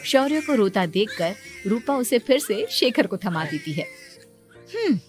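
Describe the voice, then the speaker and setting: A woman's voice: medium pitch, very animated, moderate pace, soft background music. A woman reading a scripted moral story as its narrator.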